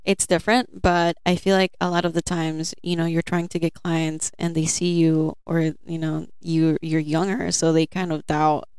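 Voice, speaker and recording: A woman's voice: low voice, flat delivery, moderate pace, few pauses, darkish tone, clear, resonant tone, no room sound. A woman in her twenties hosting an interview, chatting casually with many fillers. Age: 20s. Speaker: podcast host.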